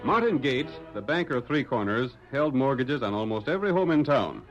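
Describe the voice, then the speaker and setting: A man's voice: mid-range voice, very animated, fairly quick. An American man narrating a radio western in a dramatic, scripted old-time announcer style. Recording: dull vintage radio recording. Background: music.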